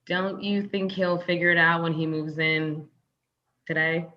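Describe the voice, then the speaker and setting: A woman's voice: lowish voice, fairly even intonation, unhurried, lightly acted. A woman playing an affectionate thirty-year-old girlfriend in a comedy table read, on a dull lo-fi microphone.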